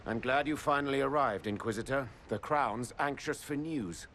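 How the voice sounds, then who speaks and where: Stern nobleman, voiced by an actor, courtly and firm, mid-pitched; for a game. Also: normal speed, a bit bright, slight background noise, close to an everyday voice. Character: stern male nobleman envoy. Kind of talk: video game cutscene acting.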